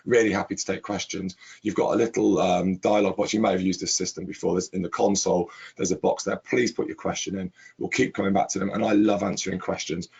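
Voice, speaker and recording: Croaky guy hosting a webinar, low gravelly voice, repeats words in long lists. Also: little pitch movement, quick pace, hardly any pauses, a little mellow, lo-fi sound. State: hoarse with laryngitis. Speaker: webinar host, chief executive. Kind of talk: webinar presentation.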